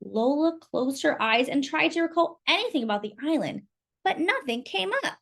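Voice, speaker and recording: Woman reading a kids book out loud, really expressive, bouncy pitch. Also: moderate pace, creaky. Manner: expressive, animated. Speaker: storyteller. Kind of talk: children's book read-aloud.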